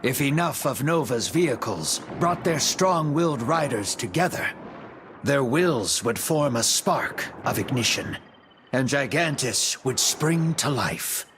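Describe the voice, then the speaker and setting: A man narrating a video game story in a solemn, measured, dramatic scripted style. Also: medium pitch, animated, background noise. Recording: music behind the voice. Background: background music.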